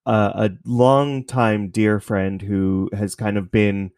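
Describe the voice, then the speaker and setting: A man with a fairly low voice speaks informally as a podcast guest in an interview. He explains things in a casual, conversational way, and the sound is dry and close. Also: level tone, slow, a little mellow, dry recording.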